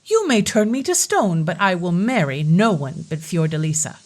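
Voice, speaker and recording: A woman's voice: fairly low pitch, very animated, moderate pace, darkish tone. A woman reading a storybook, voicing a young lovesick king in a pleading, declamatory way. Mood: defiant.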